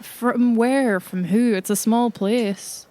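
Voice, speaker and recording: A woman's voice: a little high, fairly even intonation, takes it slowly, warm tone. A woman playing a sceptical investigator in improvised audio roleplay, questioning in a dry, pointed way. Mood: sceptical, probing. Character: sceptical, probing female investigator.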